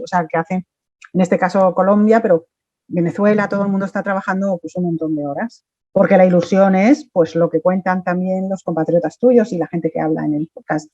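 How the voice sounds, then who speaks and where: A woman's voice: fairly low pitch, darkish tone, no echo, highs cut off. A woman from Mexico, a guest in a casual interview, chatty and talking in long run-on stretches.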